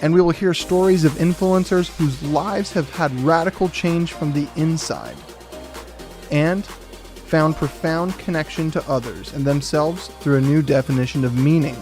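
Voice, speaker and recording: A man's voice: fairly high pitch, steady tone, measured pace, a little mellow, faint music behind. Man reading a scripted show intro. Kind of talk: scripted narration.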